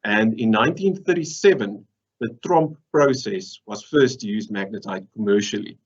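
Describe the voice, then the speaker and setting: A man's voice: on the low side, normal speed, breathy edge. Afrikaans-accented man presenting on a video call. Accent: South African Afrikaans. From South Africa. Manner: dry joking, lively lecturing. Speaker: process engineer presenter. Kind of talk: conference presentation. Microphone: video call webcam. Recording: dull lo-fi video call audio.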